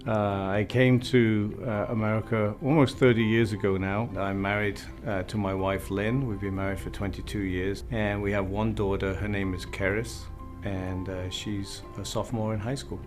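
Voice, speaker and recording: Middle-aged fire chief with faint Welsh accent. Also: male voice, fairly low pitch, little pitch movement, moderate pace, music in the background. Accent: slight Welsh. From Wales. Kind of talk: interview talk.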